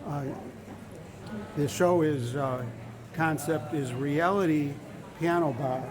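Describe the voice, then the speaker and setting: Hoarse man hosting over crowd noise. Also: fairly high pitch. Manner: rambling, halting restarts, joking. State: a little hoarse. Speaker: show host. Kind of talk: casual live-stream chat. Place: large indoor hall with a crowd.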